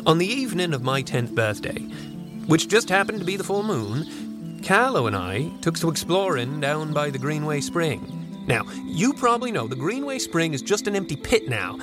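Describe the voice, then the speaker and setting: Folksy, animated country storyteller. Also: male voice, fairly high pitch, music in the background. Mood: ominous, wistful. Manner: folksy rural phrasing, unhurried asides. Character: old country man recalling his strange boyhood, wistful yarn-spinner. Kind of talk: first-person storytelling in an audio drama.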